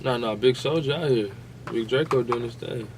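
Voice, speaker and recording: A man's voice: medium pitch, moderate pace, background noise. A man co-hosting a podcast in a casual, slangy, protesting way, debating informally like he is among friends. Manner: protesting, informal, peppers talk with "bro" and "fam". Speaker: podcast co-host.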